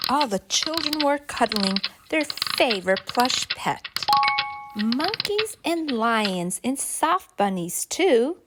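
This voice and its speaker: A woman reading a rhyming picture book to preschoolers in an expressive, deliberate, sing-song storytelling way. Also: high-pitched, heavy background noise. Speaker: children's story reader and channel host.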